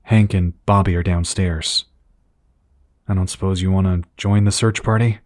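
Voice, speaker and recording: A man playing a grim, burdened superhero team leader in an audio drama, speaking in an earnest, weary, low-key way. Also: fairly even intonation, moderate pace, darkish tone, dry recording, studio quality, close to an everyday voice. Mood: resolute worry.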